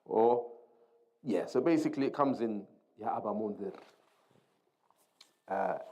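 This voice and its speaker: A man's voice: fairly low pitch, lively intonation, a little slow, mellow. A man giving an Islamic lesson as a religious teacher, explaining a text didactically, repeating key terms and mixing Arabic quotations into his English.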